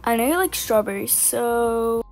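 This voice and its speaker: A woman's voice: on the high side, measured pace, soft background music. A young woman voicing a confident, popular teenage girl who talks sweetly and a little bossily.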